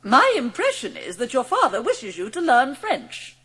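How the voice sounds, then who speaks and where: Bossy snobby schoolmistress, high n husky, swoops about, very formal, storybook drama. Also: female voice, wildly swooping pitch, normal speed, sharp tone, full character voice. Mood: stern, scolding. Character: stern, gushing, snobbish headmistress of a girls' school. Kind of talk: dramatised audiobook acting.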